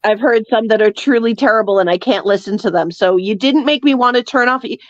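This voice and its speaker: A woman's voice: fairly high pitch, brisk, no room sound. An American woman chatting casually as a guest on a livestream, heard through dull lo-fi call audio. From United States. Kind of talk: casual chat.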